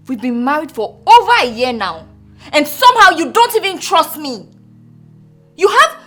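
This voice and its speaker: A high-pitched, emotional wife in a screen drama. She sounds weary and wounded, yet pushes back with exasperated defiance. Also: pushed and bright, exaggerated voice.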